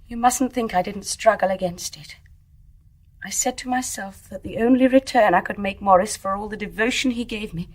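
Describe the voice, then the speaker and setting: An actress playing an anguished, impassioned young wife in a radio drama, earnest and emotional. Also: female voice, medium pitch, normal speed, close to an everyday voice. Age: young adult. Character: anguished, impassioned young wife, ashamed yet defiant in love. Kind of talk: stage-play radio drama acting.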